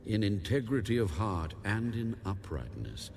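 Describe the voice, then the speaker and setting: A man playing God in a dramatized Bible reading, solemn and commanding, speaking in a grave, measured way. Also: fairly low pitch, sing-song, a little mellow, a little hiss or hum. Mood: solemn promise. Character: God, solemn commanding male deity giving a warning. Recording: music under the voice. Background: music.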